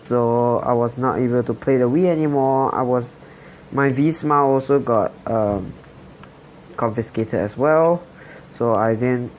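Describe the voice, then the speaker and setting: A man's voice: medium pitch, darkish tone, a little hiss or hum, poor audio. A young man in his late teens from Singapore, telling his life story in a hesitant voice-over full of fillers and restarts. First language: Chinese. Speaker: channel host.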